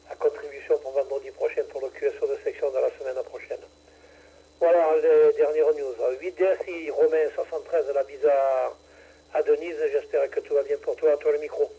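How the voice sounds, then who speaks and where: Radio club guy running the weekly net, super mellow dark voice, kinda husky, lots of uh and restarts. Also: on the high side, rough recording. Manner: hesitant, many fillers and restarts. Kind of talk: amateur radio net, relaxed chat. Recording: radio transmission.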